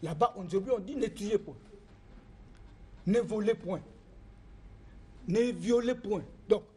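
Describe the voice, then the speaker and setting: A man's voice: sits high, slow pace, mellow, slight background noise. A man, a traditional spiritual master, speaking earnestly in a discussion.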